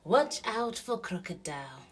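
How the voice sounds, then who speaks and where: A woman's voice: on the low side, big pitch swings, a little slow, breathy edge, exaggerated voice. A woman voicing a bossy, fussy little bird in a children's story, giving emphatic warnings.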